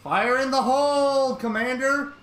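A young man streaming a shooter game from home at a desk mic, commenting excitedly and breaking into high-pitched yelps when startled. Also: unhurried. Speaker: gaming streamer. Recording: roomy with game music behind.

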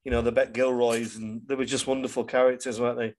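A man's voice: medium pitch, flat delivery, fast talker, darkish tone. A man hosting a casual podcast interview, starting his questions hesitantly with repeated openings.

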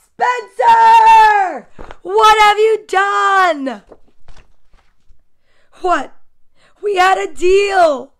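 An exasperated mom from a children's storybook, acted out by a woman reading aloud. The voice climbs very high and loud, with dramatic, drawn-out outrage. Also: full character voice. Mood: outraged shouting.

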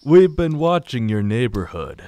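Radio drama role: a man plays a blunt resistance fighter, stern and forceful, voice pushed up. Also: medium pitch, sing-song, unhurried, dry recording, voice pushed up. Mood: firm, explaining. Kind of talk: amateur radio drama acting.

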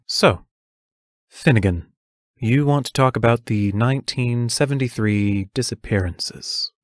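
A man's voice: medium pitch, unhurried, no room sound, clean studio sound, lightly acted. An actor playing a serious, protective male schoolteacher who speaks in careful, formal phrases in an audio drama. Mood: grave, cautious.

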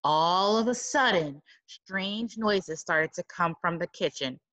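A woman reading a picture book aloud to children like a teacher, dramatic and expressive, with exclaiming asides. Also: lowish voice, slow pace, lo-fi sound.

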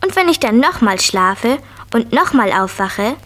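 High-voiced curious little girl. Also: big pitch swings, measured pace, a bit bright, exaggerated voice. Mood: insistent, curious. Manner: inquisitive, insistent. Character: curious, bossy four-year-old girl Julia. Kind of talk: 1970s German children's radio play acting.

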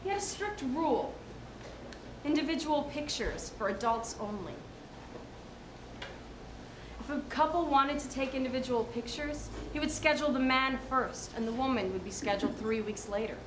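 A woman's voice: high voice, lively intonation, moderate pace, reverberant, background noise. A woman giving a dramatic reading of a short story, recorded live at an indoor venue. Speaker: drama student or college staff reader.

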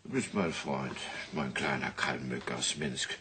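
An actor playing an exuberant, tipsy tattooist, a generous and wheedling friend, in a radio play. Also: male voice, rumbling low, unhurried, bright, forceful tone, slight background noise, full character voice. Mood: tipsy, elated. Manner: exuberant, wheedling, drunkenly expansive. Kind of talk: German radio play acting.

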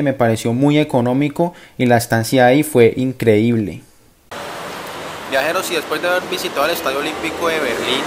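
A young man with a Colombian accent, vlogging his travels to camera in a chatty, enthusiastic way. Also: medium pitch. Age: young adult. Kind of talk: casual travel vlog to camera. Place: outdoors at a stadium and a bus station. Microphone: phone or camera held at arm's length. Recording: outdoor city noise, traffic. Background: loud urban ambience and vehicles.

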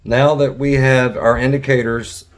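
A man's voice: mid-range voice, fairly even intonation, a little slow. A middle-aged man giving a hands-on demonstration in a wood-walled workshop, explaining each step plainly with some restarts. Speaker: sawmill blade technician.

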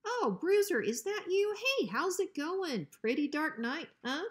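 A woman's voice: very high voice, very animated, measured pace, pitched above the performer's own voice, heavily acted. Woman voicing a pompous, blustering guard dog. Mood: nervous flattery. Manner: officious, blustering. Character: pompous, bossy, cowardly male ranch guard dog. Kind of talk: children's storybook reading.

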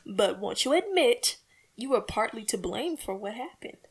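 A woman's voice: a little high, sing-song, moderate pace, breathy edge, full character voice. A woman playing an earnest, peacemaking housewife and mother in an old-time radio comedy, folksy, plaintive and coaxing. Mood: pleading.

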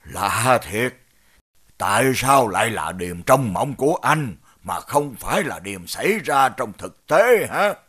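A man voicing a learned village elder in an animated fairy tale, deliberate and pondering as he reads omens. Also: mid-range voice, husky, heavily acted.

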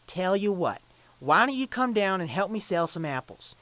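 A woman voicing a caring, hesitant farm pony in a parody cartoon, speaking in an earnest, halting way. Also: lowish voice, very animated, fairly bright tone, rough recording. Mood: gently coaxing. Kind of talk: parody cartoon dub acting.

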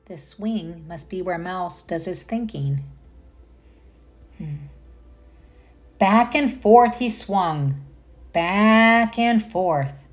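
A woman reading a children's picture book aloud as a storyteller, pausing to comment and put questions to young listeners. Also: lowish voice.

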